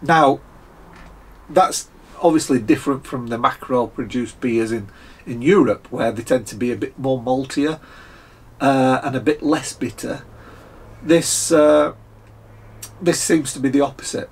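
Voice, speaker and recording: A croaky Scottish man in his fifties, sounding husky, talks casually through a beer tasting at a desk, with plenty of fillers and the odd throat clearing. His pitch sits in the middle, and the sound is close to the camera in a small room at home. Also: measured pace, long pauses, a little mellow. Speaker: beer reviewer.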